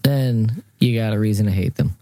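A man's voice: fairly low pitch, normal speed, warm tone, no room sound. A man co-hosting a podcast in casual chat, crude and joking, laughing often. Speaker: podcast co-host.

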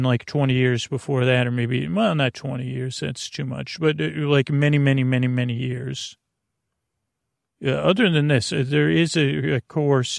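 A man with a notably creaky, fry-laden voice at an average male pitch hosts a sleep podcast. He meanders and stops mid-thought to start again, drifting off on digressions. The sound is dry, as from a small closet at home. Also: dry recording. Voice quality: creaky, gravelly. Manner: halting, digressive, constantly restarting mid-thought. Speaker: host of a bedtime sleep podcast. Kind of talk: rambling, meandering bedtime monologue.

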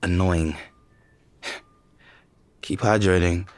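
A man's voice: deep voice, fairly even intonation, extremely slow. A man playing a caring but guarded boyfriend, firm and evasive, in an audio drama. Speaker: actor in audio fiction. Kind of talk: serialized audio drama acting.

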